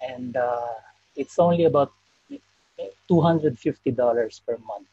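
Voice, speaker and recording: A man from the Philippines, a guest telling his story on a video call, soft-spoken with frequent pauses. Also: mid-range voice, husky, dry recording, lo-fi sound. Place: indoor room.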